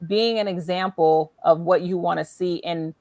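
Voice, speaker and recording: A woman's voice: on the low side, steady tone, normal speed, darkish tone, no echo. A woman hosting a conversational faith-based interview, speaking affirmingly and repeating phrases for emphasis. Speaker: interview show host.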